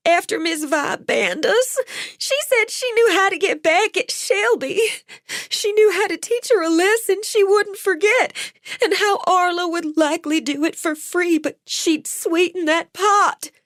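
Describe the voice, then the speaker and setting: Woman voicing a young woman, high shrill sobbing voice, gushing, frantic. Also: measured pace, fairly bright tone, no echo, studio quality. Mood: panicked. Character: hysterical young woman, weepy and pleading.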